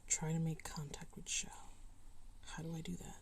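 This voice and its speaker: A woman's voice: low-pitched, completely flat delivery, normal speed, gravelly, in a whisper. A woman giving casual livestream commentary on a video game, with dry, muttered asides. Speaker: game streamer. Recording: faint background noise.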